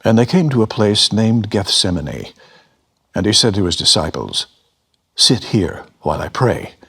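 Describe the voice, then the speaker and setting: A man with a husky voice giving a solemn, expressive dramatic reading of scripture as a voice actor. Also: fairly low pitch, unhurried.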